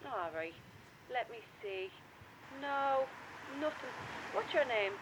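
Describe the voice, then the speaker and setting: A woman playing a helpful, unhurried homeless helpline operator with an Irish accent, casual and familiar, in a radio play. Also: a little high, expressive, noisy background. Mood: matter-of-fact.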